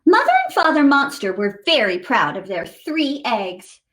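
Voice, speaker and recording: High, animated woman reading to children. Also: unhurried. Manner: expressive, chatty asides to young listeners. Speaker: storytime host reading to children. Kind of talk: animated picture-book read-aloud.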